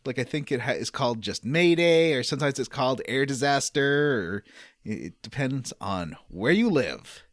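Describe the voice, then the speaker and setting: An enthusiastic male podcast host with a lively, swinging pitch. He rambles through stories in casual conversation, and the sound is dry and close, with little room to it. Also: normal speed, no room sound. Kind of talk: casual group podcast chat.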